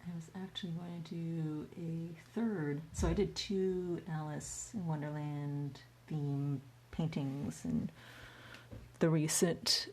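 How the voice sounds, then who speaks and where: A woman's voice: low-pitched, fairly even intonation, takes it slowly, poor audio. A woman from the United States, raised speaking Chinese first, chatting casually with viewers on a livestream while she paints and reads their comments aloud. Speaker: artist hosting a livestream Q&A.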